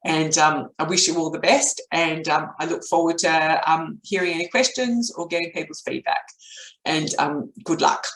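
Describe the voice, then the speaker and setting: A middle-aged woman with an Australian accent and a voice croaky from a cold, explaining steps as a business coach in a webinar. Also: low-pitched, moderate pace, a bit bright. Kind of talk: explanatory webinar talk with step-by-step recap. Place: indoor home office, at a desk. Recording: roomy, echoey webcam audio.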